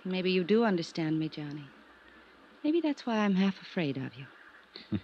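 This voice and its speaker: A woman's voice: lowish voice, big pitch swings, a little slow. A lonely young woman, wistful and guarded. She speaks in dramatic 1950s radio style. The recording is dull and a little noisy, like an old broadcast.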